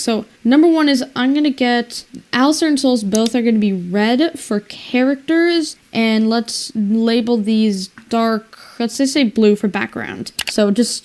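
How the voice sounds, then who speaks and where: A woman's voice: on the high side, darkish tone. A woman narrating her video editing in a casual, rambling way, thinking aloud and exclaiming to herself. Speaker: video editor and creator narrating her screen work.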